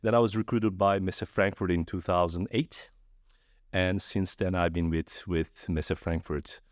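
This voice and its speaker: Deep-voiced Turkish-born man, guest. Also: unhurried, no echo, clean studio sound. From Turkey. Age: middle-aged. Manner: friendly, animated storytelling with restarts. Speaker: guest, trade fair executive. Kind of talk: conversational interview.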